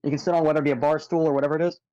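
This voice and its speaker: A man's voice: on the high side, flat delivery, warm tone, clear, resonant tone. A young man telling stories in a casual group chat. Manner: fast, storytelling. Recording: dull, lo-fi mic.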